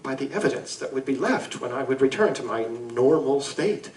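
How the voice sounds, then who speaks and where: A man playing an anguished Victorian gentleman scientist in a live audio drama, speaking formally, eloquently and deliberately. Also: medium pitch, lively intonation, natural voice. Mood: troubled, regretful. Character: anguished gentleman scientist confessing to a monstrous alter ego. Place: live convention performance in a room. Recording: roomy live stage recording, distant mic.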